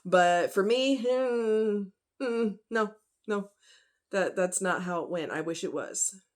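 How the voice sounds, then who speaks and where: A woman's voice: mid-range voice, takes it slowly. A woman vlogger talking casually and directly to her viewers in a folksy, conversational, emphatic way.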